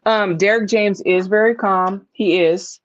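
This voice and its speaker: Brief: woman, podcast host, blunt and emphatic, middle pitch, lo-fi audio. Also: steady tone, a little slow. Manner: blunt, emphatic, laughs often, swears. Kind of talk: casual panel chat. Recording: dull lo-fi sound.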